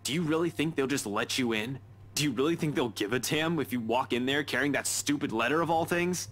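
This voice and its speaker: A man's voice: mid-range voice, fairly quick, a bit bright, pitched above the performer's own voice, natural voice. A man playing a stern, protective young man in a visual novel, firm and insistent. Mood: stern, exasperated. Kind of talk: dramatic visual novel dialogue reading.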